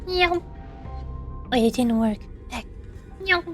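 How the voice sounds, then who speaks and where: A woman's voice: a little high, animated, slow, a bit bright, soft background music. A woman streaming as a VTuber, chatting casually and reacting to her chat while her voice gives out. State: losing her voice.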